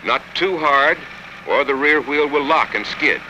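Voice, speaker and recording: An American man reading scripted instructional narration for a training film in a measured, instructive manner. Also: fairly high pitch. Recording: 1960s film soundtrack, faint noise.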